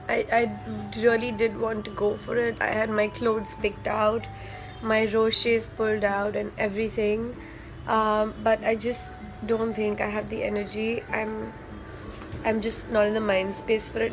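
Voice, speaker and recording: A young woman talks straight to her viewers in a relaxed vlog. She sits in the middle of the usual female range and keeps things breezy and chatty, dropping plenty of "like" and "um", while music plays clearly underneath. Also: medium pitch, a little slow, low-quality recording. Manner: chatty, upbeat, peppered with "like" and "um". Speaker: vlogger. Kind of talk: casual vlog talk to camera.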